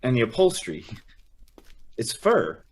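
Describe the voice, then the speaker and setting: A man's voice: medium pitch, huge pitch swings, a little slow, darkish tone. Man as awestruck, naive young guest. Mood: puzzled amazement. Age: young adult. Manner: wondering, hesitant, stammering. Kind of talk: old-time radio drama acting.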